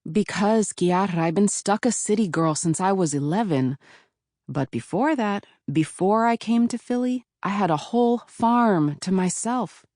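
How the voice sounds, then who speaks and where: A woman voicing a tired mother who explains gently and wistfully. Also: medium pitch, animated, a little slow, no echo, clean studio sound, voice pushed up, natural voice.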